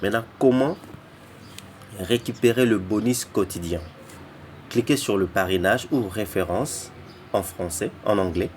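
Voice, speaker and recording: A man giving a casual walkthrough of an app, halting and often repeating words and restarting phrases, who says he is not an English speaker. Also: lowish voice, a little mellow, slight background noise. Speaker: app tutorial presenter. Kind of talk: casual screen-recorded walkthrough.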